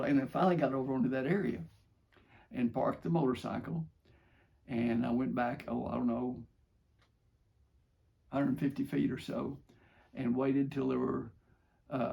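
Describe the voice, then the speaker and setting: A man's voice: medium pitch, vocal fry, darkish tone. An older man with an American accent, casually telling first-person stories in a rambling, modest, homespun way. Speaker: father telling stories from his youth.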